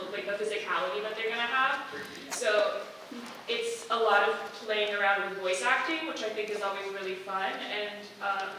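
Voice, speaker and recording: A woman speaking thoughtfully and articulately as she gives casual feedback in a group discussion. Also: mid-range voice. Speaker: audience member giving feedback. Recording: echoey room from far off, faint noise.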